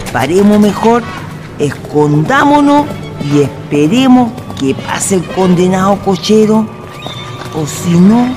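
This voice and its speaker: A man's voice: high voice, exaggerated voice. An actor playing a weary, cautious village man in a radio drama, plaintive and colloquial, with a Chilean accent. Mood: anxious. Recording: music behind the voice.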